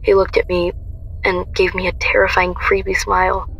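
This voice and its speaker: A woman's voice: lowish voice, completely flat delivery, moderate pace, gravelly, a crowd in the background, poor audio. A woman playing a traumatized young woman who narrates her violent awakening in an audio drama. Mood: tense, fearful. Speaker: lead actress and narrator.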